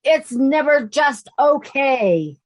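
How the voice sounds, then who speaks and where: An actress playing a mature, conflicted widow in a stage play reading, plain-spoken and emotional. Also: female voice, high-pitched, expressive, slow, highs cut off. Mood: conflicted, bitter. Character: Meryl, mature widow, conflicted between fondness and anger.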